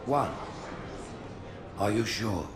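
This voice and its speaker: An actor playing a stately, coldly judging alien high prophet, pompous and deliberate, in a video game. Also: male voice, expressive. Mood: probing. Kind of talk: dramatic video game acting.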